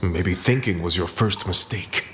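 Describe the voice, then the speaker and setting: An actor playing a commanding, condescending boss in a radio play. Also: male voice, sits low, unhurried, pushed and bright, a little hiss or hum. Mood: probing. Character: domineering male boss.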